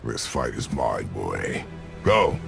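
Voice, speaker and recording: A man playing a stern, battle-hardened old warrior father in a video game, gravelly, curt and commanding. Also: very deep voice, big pitch swings, slow pace, music in the background, heavily acted. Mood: defiant, protective. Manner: curt, commanding, sparing with words. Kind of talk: cinematic video game acting.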